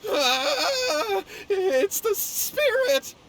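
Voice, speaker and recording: An actor voicing an anxious, apologetic young schemer with an earnest, sheepish manner in a video game. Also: male voice, very high-pitched, big pitch swings, drawn-out words, fairly bright tone, exaggerated voice. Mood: terrified. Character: anxious, scheming, apologetic poor younger man, swordsman's brother.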